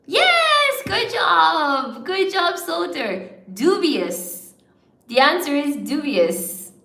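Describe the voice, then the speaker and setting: Unhurried Irish woman teacher, echoey room. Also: high-pitched, very animated, vocal fry, pushed and bright. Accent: mild Irish, largely neutral. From Ireland. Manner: chatty and encouraging, laughs often, reads viewer comments aloud. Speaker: English teacher hosting a livestream. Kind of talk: live-stream word game with viewers.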